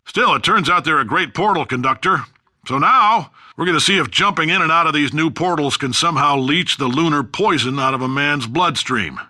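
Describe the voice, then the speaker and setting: A man's voice: on the high side, bright, forceful tone, full character voice. An actor playing a brash, callous older company boss in a video game, with folksy salesman bluster and blunt dry asides. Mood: defiant, blustering. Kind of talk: scripted video game voice acting, recorded announcement.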